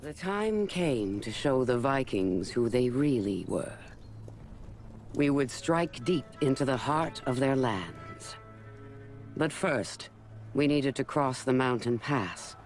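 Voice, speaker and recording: A resolute medieval warrior narrates a war in a video game. The delivery is very slow and solemn, at a middle pitch, over faint music. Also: male voice, big pitch swings. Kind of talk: dramatic scripted game narration.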